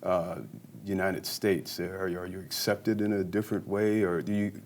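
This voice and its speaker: Halting, stammering male interviewer. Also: low-pitched, level tone, a little mellow, a little hiss or hum. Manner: halting, repeats words, laughs often. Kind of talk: interview questions.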